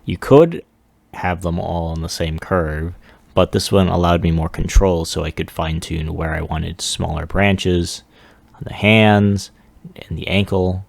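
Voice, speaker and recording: Very deep, gravelly, slow-talking man. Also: no echo. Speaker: 3D animation hobbyist presenting a demo. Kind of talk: casual unscripted screen-recording walkthrough.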